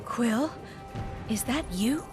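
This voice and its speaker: A woman's voice: a little high, expressive, slow, music in the background. An actress voicing a composed, formal queen in a video game cutscene. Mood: regal. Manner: poised, formal. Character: regal composed female queen.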